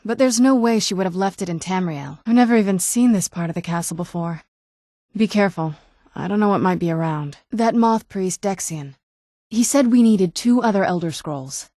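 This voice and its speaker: A woman voicing a guarded, wounded young vampire noblewoman in a video game, with dry understatement and hesitant pauses. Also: medium pitch, dark tone, no room sound, lightly acted. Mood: curious, matter-of-fact. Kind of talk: video game character dialogue. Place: dry studio recording.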